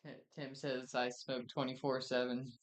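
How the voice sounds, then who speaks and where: A man's voice: medium pitch, flat delivery, moderate pace. A man chatting informally as a co-host, laid-back, sometimes reading out comments. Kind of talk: casual chat.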